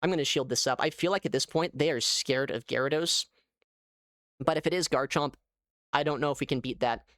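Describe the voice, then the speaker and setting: A man giving casual live gameplay commentary as a streamer, thinking aloud in an animated way. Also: fairly high pitch, fairly quick, no room sound, clean studio sound. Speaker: gaming streamer.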